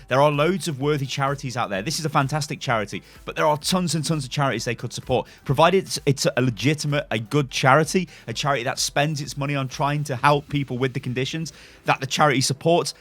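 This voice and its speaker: A man giving casual gaming news commentary as a YouTuber, chatty and excitable, with rhetorical asides to his viewers. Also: medium pitch.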